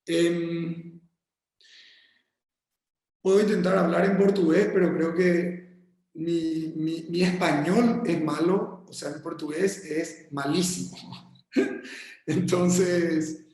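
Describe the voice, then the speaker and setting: A man with a Paraguayan accent giving a casual remote talk as a guest architect, halting and friendly, often repeating his words. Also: fairly high pitch, steady tone, darkish tone, very echoey, rough recording. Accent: Paraguayan Spanish. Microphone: video call.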